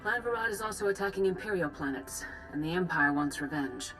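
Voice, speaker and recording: A woman playing a grave, composed Jedi master in a video game, giving formal briefings with measured authority. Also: fairly low pitch, animated, a bit bright, faint music behind, poor audio. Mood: grave, urgent. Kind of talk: formal dramatic mission briefing.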